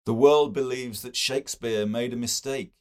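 A man's voice: medium pitch, fairly even intonation, normal speed, lightly acted. A man voicing a sceptical male literary scholar in a novel, with a carping manner.

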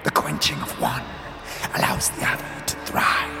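Man acting out a breathy, menacing phantom hunter. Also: music in the background, natural voice. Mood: cold, menacing. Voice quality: breathy, hissing whisper. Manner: slow, ominous, commanding. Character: menacing spectral hunter, a hungry phantom of haze. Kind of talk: audio drama acting.

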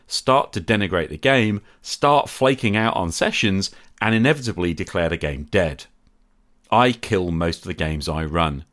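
A man hosting a podcast, reading a measured, candid and self-critical monologue. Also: deep voice, fairly bright tone. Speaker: podcast host. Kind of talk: reflective scripted monologue.

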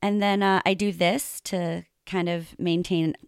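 A woman's voice: fairly low pitch, fairly even intonation, unhurried, smooth, dry recording, clean studio sound. A woman hosting an interview over a video call, chatty, with many fillers and frequent laughs. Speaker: podcast host. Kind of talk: casual interview chat.

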